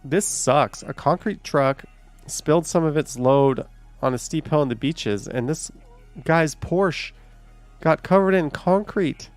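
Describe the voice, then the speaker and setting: A man's voice: a little high, unhurried, no room sound, faint music behind. A man hosting a live stream, chatting casually, thinking aloud and swearing now and then.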